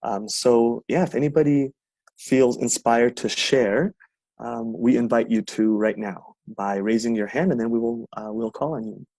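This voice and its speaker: A man hosts a group video call, inviting people to share. He talks in a halting, filler-heavy way, with his mid-pitched voice sounding dry and close. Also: gravelly, a little mellow, dry recording, poor audio. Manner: hesitant, many fillers and restarts. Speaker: event co-host, moderator. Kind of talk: casual moderating on a video call.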